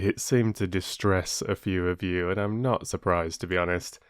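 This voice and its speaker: Slow, low-voiced male storyteller. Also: smooth, no echo, studio quality. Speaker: storyteller and channel host. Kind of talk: expressive audiobook narration of fables.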